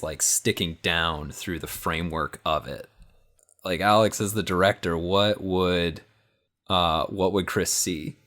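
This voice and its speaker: Low-voiced man improvising at a game. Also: fairly bright tone. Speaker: tabletop game player. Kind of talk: casual improvised storytelling.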